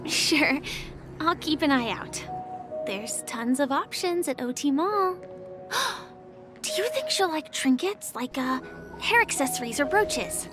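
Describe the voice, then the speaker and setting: Earnest young game heroine: high, soft, polite, quietly resolute, music behind. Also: female voice, lively intonation, slow pace. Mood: gentle, bright. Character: gentle, earnest young woman, quietly determined dreamer. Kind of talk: video game voice acting. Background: background music.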